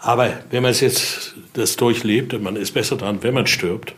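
A man's voice: on the low side, moderate pace, fairly bright tone. A man giving an informal spoken explanation as an expert guide, often adding short tag questions to check agreement. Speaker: expert guide showing a collection.

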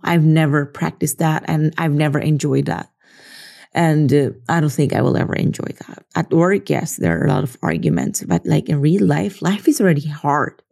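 A woman's voice: low voice, mellow. A woman from the Philippines, talking earnestly in a casual reflective monologue and often checking in with "right?". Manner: earnest, leans on "you know" and "right?". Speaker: social media creator.

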